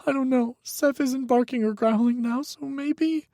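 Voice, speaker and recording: A man's voice: extremely high pitch, fairly even intonation, a little slow, dark tone, no room sound, studio quality, voice pushed up. A man voicing a timid, frightened young man who sounds tearful and shaky. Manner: trembling, tearful.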